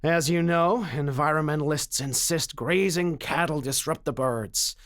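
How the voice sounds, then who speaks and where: An actor playing a slick, confident mining company banker, a smooth-talking dealmaker in an audio drama. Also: male voice, a little high, unhurried, fairly bright tone. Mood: persuasive.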